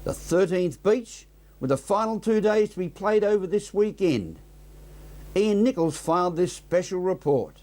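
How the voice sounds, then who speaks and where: A man with an Australian accent and a slightly high voice presents the news. He handles interviews and introduces reports. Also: animated, measured pace, a little mellow.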